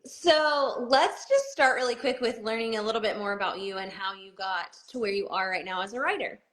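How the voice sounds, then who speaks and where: Bright-voiced woman hosting an interview. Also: medium pitch, brisk. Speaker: interviewer, book reviewer. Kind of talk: casual video-call interview. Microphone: video call.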